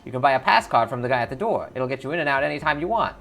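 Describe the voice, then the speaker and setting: A twitchy orc hustler from a cyberpunk game, acted out by a man, pitched well above a normal male voice. He is loud and jittery, repeating himself in slangy sales patter, with music playing underneath. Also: animated, rapid-fire. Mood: sly, excited.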